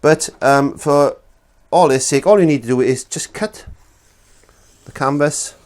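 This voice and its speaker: A man's voice: a little high, unhurried. A middle-aged man teaching an art lesson in his small studio, sounding congested from a cold and talking in a chatty, rambling way with many restarts. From Wales. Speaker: art instructor. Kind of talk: casual demonstration lesson.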